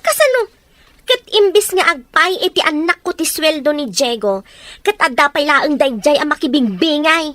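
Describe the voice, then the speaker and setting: A woman's voice: sits high, lively intonation, normal speed, fairly bright tone, no room sound. A woman acting a bitter, hard-hearted betrayed wife in an Ilocano radio drama, with an Ilocano accent.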